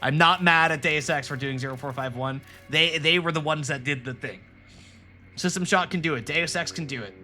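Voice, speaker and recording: A man rambles and jokes while he streams a game and talks to his chat. His voice sits fairly high and sounds bright and forceful. Faint game music plays underneath. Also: fairly quick. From Rhode Island, New England. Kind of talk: casual let's-play commentary.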